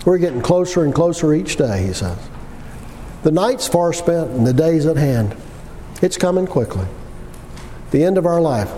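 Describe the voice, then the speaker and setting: Man, 60s, for sermon delivery: folksy and emphatic, very lively intonation, noisy recording. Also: fairly high pitch, moderate pace, long pauses. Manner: folksy, conversational; emphatic repetition with rhetorical questions. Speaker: preacher. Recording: long hall reverb with background noise.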